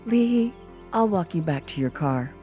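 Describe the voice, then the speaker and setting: A woman acts out a gentle, sincere young woman in an audiobook drama. Her voice sits a little low, her delivery is tender and earnest, and quiet music runs beneath it. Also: animated, moderate pace, natural voice. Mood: warm. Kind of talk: audiobook character dialogue. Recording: music under the voice.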